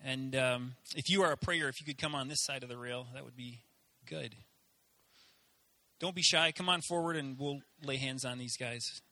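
A man's voice: mid-range voice, normal speed, sharp tone, no room sound. A man leading a church service, casually inviting people forward to pray. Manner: casual, encouraging. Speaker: pastor. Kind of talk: church announcement.

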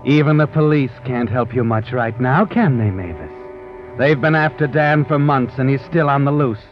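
An actor playing a needling, sardonic crime-tale narrator in dramatic 1940s radio suspense style. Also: male voice, mid-range voice, normal speed, close to an everyday voice. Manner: dramatic second-person taunting. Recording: muffled old radio recording over music.

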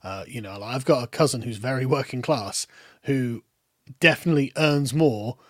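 British guest, rambling talker, middling pitch, dead dry room. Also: male voice, moderate pace, no room sound. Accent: British, lower middle class. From Britain. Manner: rambling, with many restarts and trailing off quietly. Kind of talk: casual political discussion.

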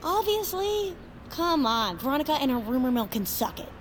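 A woman's voice: sits high, very animated, normal speed, slight background noise. A woman playing a chatty, supportive, irreverent teenage girl with casual, emphatic speech in a naturalistic audio drama. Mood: urging. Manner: casual, emphatic, coaxing teen talk.

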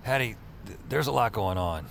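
An actor playing a wry, beleaguered emergency call operator who narrates his own story in a deadpan way in an audio drama. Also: lowish voice, normal speed, creaky, a little hiss or hum. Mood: flustered. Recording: music behind the voice. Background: music.

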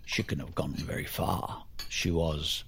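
An actor playing a dry, stubborn middle-aged English father who needles quietly, gravelly and husky, in an audio drama. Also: extremely low pitch, fairly even intonation, a little slow, a little hiss or hum, full character voice. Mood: wry, matter-of-fact. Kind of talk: naturalistic audio drama acting.

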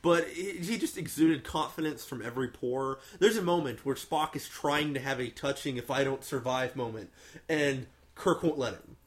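Man, podcast host, casual chat, rambling, enthusiastic, mid pitch. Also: moderate pace. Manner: rambling, enthusiastic, many fillers and restarts. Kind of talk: casual movie review chat.

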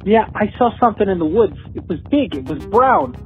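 An actor playing a lonely, bumbling tipline caller who talks in a halting, stammering way in a comic audio drama. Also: medium pitch, lightly acted. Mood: awkward. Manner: halting, stammering, deadpan anticlimaxes. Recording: muffled lo-fi phone call with music behind.

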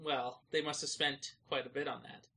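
A man's voice: mid-range voice, steady tone, normal speed, vocal fry, sharp tone, slightly rough. A man co-hosting a podcast in casual banter with a dry, sardonic manner. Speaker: podcast host.

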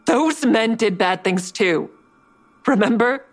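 A woman's voice: fairly high pitch, animated, slow, dry recording. An actress playing a guarded, stressed woman investigator who also narrates in a first-person audio drama. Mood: exasperated, pleading. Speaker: narrator and lead character.

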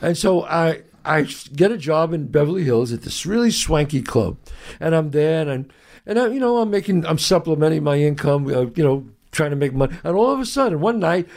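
A man's voice: fairly high pitch, normal speed, vocal fry. A man, a guest telling stories and acting out the lines of people in them. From New York. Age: 60s. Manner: animated storyteller who acts out quotes. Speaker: guest, actor and writer. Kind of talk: storytelling in an interview.

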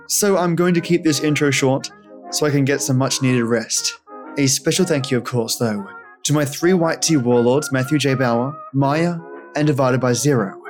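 A man hosting a storytelling podcast, reading a scripted introduction. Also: medium pitch. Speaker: podcast host.

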